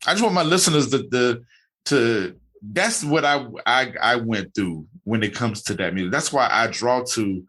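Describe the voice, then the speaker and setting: A man's voice: medium pitch, lively intonation, normal speed, fairly bright tone. A man hosting an interview, talking in a casual, rambling way with many fillers and restarts. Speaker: podcast host.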